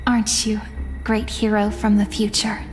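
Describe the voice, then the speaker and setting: An actress playing a gentle, devoted young village woman, speaking earnestly and wistfully in a game story. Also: mid-range voice, monotone, lightly acted. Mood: tender, wistful. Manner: tender, earnest, measured. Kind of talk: emotional scripted game dialogue. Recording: long reverb, music behind.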